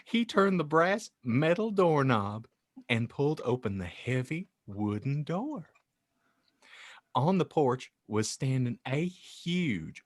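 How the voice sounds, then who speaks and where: A man's voice: a little high, dry recording, clean studio sound. A man hosting a podcast, reading a story like an audiobook narrator in a dramatic, playful, mock-grand way. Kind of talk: theatrical audiobook reading with joking asides.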